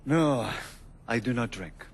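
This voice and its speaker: An actor playing a cold, controlling Italian drug supplier in a video game, with an Italian accent and formal, deliberate speech. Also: male voice, medium pitch, animated, slight background noise. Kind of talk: video game cutscene acting.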